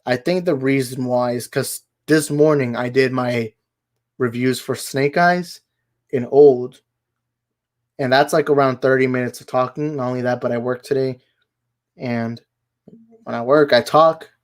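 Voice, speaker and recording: A man hosting a casual live movie chat, his voice hoarse and worn out, rambling and correcting himself as he goes. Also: mid-range voice, steady tone, measured pace, long pauses. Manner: rambling, self-correcting, laughs easily. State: hoarse, tired voice. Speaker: livestream host.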